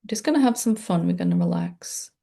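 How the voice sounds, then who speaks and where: A woman meditation teacher leading a gentle, unscripted guided meditation in a soothing, informal and reassuring way. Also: fairly low pitch, lively intonation, fairly quick, creaky.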